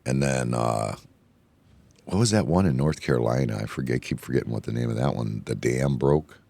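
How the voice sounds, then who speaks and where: Gravelly, hoarse low-voiced man rambling. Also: fairly quick, dry recording. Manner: rambling, excitable, repeats himself. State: hoarse from yelling. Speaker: podcast host. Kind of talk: casual bar chat. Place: indoor room, bar setting.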